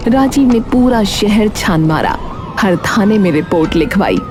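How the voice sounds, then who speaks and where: A woman reads scripted narration for a cartoon story. She works as the storyteller. Music plays clearly underneath her voice. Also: mid-range voice, expressive, unhurried.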